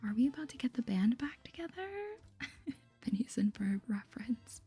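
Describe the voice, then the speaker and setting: A woman hosting a soft-spoken ASMR game playthrough in a breathy whisper, reacting playfully and gossipily to the story. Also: on the high side, animated, moderate pace. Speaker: gaming video host.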